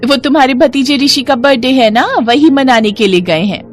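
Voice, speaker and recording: A woman's voice: sits high, moderate pace, faint music behind, lightly acted. A woman voicing a chatty, hospitable older neighbour auntie in a cartoon family drama. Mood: friendly.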